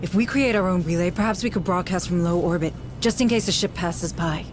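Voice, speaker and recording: A woman's voice: fairly low pitch, fairly quick, background noise, close to an everyday voice. A woman as an earnest station administrator. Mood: urgent. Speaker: deputy administrator.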